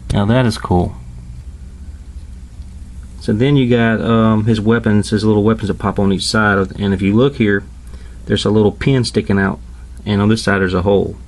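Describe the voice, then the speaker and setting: A man giving a casual, informal hands-on toy review, explaining step by step with hesitant pauses. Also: fairly low pitch, darkish tone. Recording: clearly noisy home recording.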